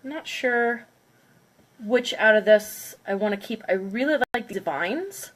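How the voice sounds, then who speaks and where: A woman's voice: medium pitch, expressive, measured pace. A congested woman chatting casually on a livestream, counting down before smashing plates. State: congested from a cold. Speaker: craft livestreamer.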